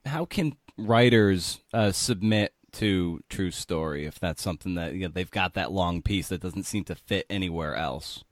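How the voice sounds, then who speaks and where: A man's voice: sits low, moderate pace, hardly any pauses, no echo, clean studio sound. A man hosting an interview podcast, asking questions informally and rephrasing them as he goes.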